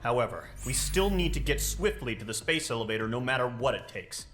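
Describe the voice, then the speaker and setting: An actor playing a stern, businesslike military officer who delivers a formal, measured mission briefing in a game. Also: male voice, medium pitch, animated, lightly acted. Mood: grave, resolute. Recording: music under the voice.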